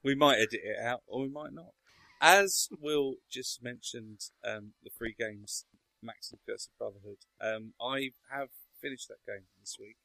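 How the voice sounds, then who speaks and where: A man hosts a casual podcast chat. He talks at a middle pitch with a dry, close sound. He hesitates often and restarts sentences. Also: measured pace, vocal fry, bright, forceful tone, dry recording, rough recording. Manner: hesitant, lots of ums and restarts. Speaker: podcast host.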